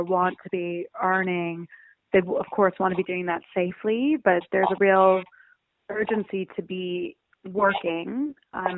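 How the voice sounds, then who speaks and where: A woman's voice: medium pitch, slight background noise. Woman executive on a tinny phone line. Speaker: chief executive being interviewed. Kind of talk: phone interview, explanatory business talk. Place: on a telephone call. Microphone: telephone.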